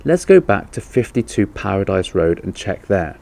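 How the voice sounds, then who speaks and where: A man's voice: low-pitched, big pitch swings, moderate pace, creaky, mellow, heavily acted. A man reading as a concerned, earnest uncle. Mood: suggesting. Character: concerned uncle, freshly woken, helpful.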